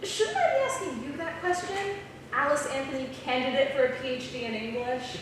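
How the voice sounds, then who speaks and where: A woman's voice: high voice, lively intonation, a little fast. A woman playing an eager, defensive college student writer in a staged script reading in a theater. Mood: wry, teasing. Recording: echoey theater, distant mic, clear noise.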